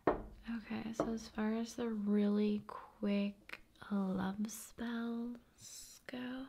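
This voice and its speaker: A woman hosting an ASMR video, talking in an unhurried, hushed, conversational way that moves between soft speech and whispering. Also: a little high, little pitch movement, creaky, slight background noise.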